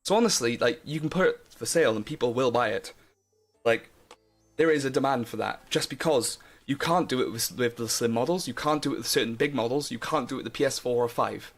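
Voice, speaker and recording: A man's voice: mid-range voice, brisk. Young guy rambling on stream, loads of ums and likes, faint music under him. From Wales. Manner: rambling, chatty, lots of fillers and restarts, laughs often. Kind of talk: casual live stream chat.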